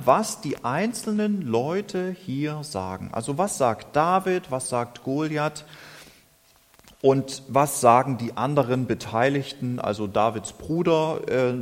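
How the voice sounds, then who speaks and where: A man from Germany preaching a sermon in a slow, deliberate and thoughtful way. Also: mid-range voice. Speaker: lay preacher. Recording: reverberant hall.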